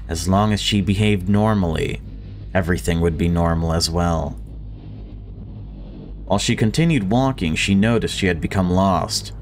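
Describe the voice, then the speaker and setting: A man reading visual novel story text aloud and adding casual commentary as a gaming video creator. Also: sits low.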